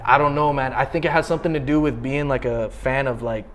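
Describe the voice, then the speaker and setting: A man's voice: mid-range voice, quick pace. A young man in his twenties from Canada chatting casually and slangily as an interview guest, in loose bursts. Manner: slangy, talks in loose bursts with frequent "like" and "you know". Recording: steady background noise.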